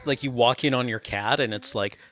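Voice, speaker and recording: A man's voice: medium pitch, a little fast, fairly bright tone. A man co-hosting a casual chat, riffing on playful tangents and absurd jokes. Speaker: podcast co-host.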